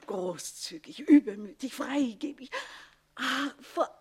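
An actress playing a scheming, jealous former mistress in a German radio drama, theatrical, with mock-polite, insinuating emphasis and a husky voice. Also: female voice, high voice, animated, slow, a little mellow, heavily acted. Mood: persuasive, excited.

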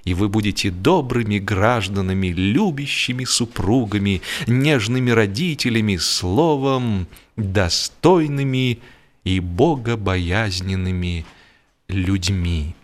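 Theatrical male narrator of humorous fiction. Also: fairly low pitch. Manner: theatrical, measured, mock-solemn. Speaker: audiobook narrator. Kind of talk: scripted humorous literary narration.

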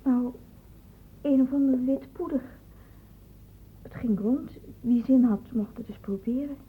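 A woman's voice: high-pitched, a little slow, breathy edge, exaggerated voice. An actress playing an anxious, cooperative young woman in a radio play, speaking in a hesitant, subdued way. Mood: hesitant, explaining. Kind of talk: Dutch radio play acting. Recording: muffled old radio play recording.

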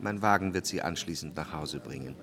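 An actor playing an introspective, uneasy gentleman who narrates his memories in a measured way in a literary radio drama. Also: a bass voice, gravelly. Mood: reflective.

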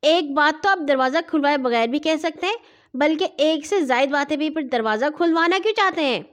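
A woman's voice: high voice, lively intonation, pitched above the performer's own voice. A woman voicing a cheeky young boy in a storybook, boastful yet easily scared. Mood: cheeky, irritated. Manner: cheeky, pleading.

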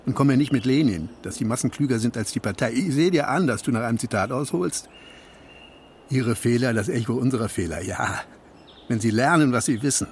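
An actor playing a stern, lecturing party secretary in a radio play, speaking in biting, declamatory slogans. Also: male voice, medium pitch, lively intonation, fairly quick, slight background noise, lightly acted. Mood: stern, sardonic. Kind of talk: stylised radio play dialogue.

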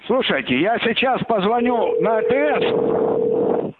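Brief: high-voiced elderly man, annoyed, over a phone line. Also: animated, slow pace. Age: 80s. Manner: irritated, bewildered. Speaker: prank victim. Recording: tinny phone line with loud background noise.

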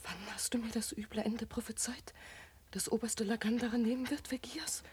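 A woman's voice: a little high, steady tone, fairly quick, lightly acted. An actress playing a superstitious, pleading young brothel woman in a German radio drama, intense and theatrical. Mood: hushed, insistent. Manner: intense, theatrical, swinging from whispers to cries. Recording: very noisy radio play recording.

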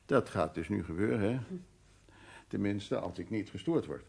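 Middle-aged man, slightly husky, brusque, hesitant, haunted art restorer, naturalistic radio drama. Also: fairly low pitch, animated, moderate pace, a little mellow, full character voice. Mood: reserved, hesitant. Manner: hesitant, repeating words, musing.

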